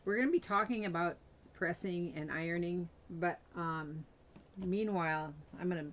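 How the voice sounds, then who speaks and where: A bubbly woman host with a somewhat low voice jokes with her guest and often trips over her own sentences. A faint hum sits behind her. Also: normal speed. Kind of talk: casual chat.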